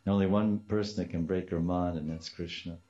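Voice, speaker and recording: Older man with a deep voice. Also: steady tone, a little fast, warm tone. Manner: storytelling with repeated phrases and Sanskrit terms. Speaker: devotional teacher. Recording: dull, slightly muffled lo-fi recording.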